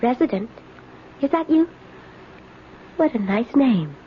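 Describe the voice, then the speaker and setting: An actress playing a sly, coolly insolent woman drifter in a radio mystery, languid and softly taunting. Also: on the high side, very animated, slow. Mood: coolly insistent. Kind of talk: 1970s radio mystery drama acting. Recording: muffled, noisy 1970s radio broadcast.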